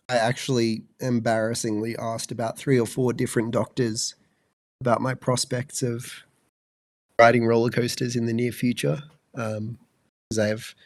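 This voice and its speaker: A man's voice: mid-range voice, a little mellow, no echo. A man in his twenties with an Australian accent, co-hosting a podcast, sounding congested and fluey, rambling with many fillers. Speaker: podcast co-host. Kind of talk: casual chat.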